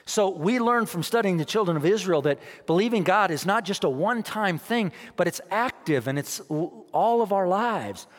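A man preaching, his voice somewhat high for a man. He drives his points home by repeating them, dropping to near quiet and then rising again. A long reverb from the hall hangs on his words. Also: expressive, moderate pace, few pauses. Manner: emphatic, repeats phrases, rises and drops in loudness. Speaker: pastor. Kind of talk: sermon.

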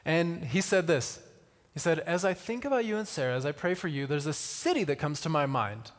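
A man giving a conversational sermon with personal stories, using deliberate pauses and repetition and touches of wry humour. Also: a little high, lively intonation. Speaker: missionary speaker. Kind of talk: sermon with personal storytelling to an audience. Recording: reverberant hall.

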